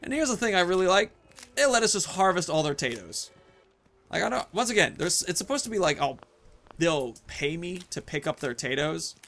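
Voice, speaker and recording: A man's voice: high voice, big pitch swings, a little fast, a bit bright, soft background music. A man in his thirties giving casual, playful gameplay commentary, rambling and talking to himself as he plays. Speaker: gaming video host.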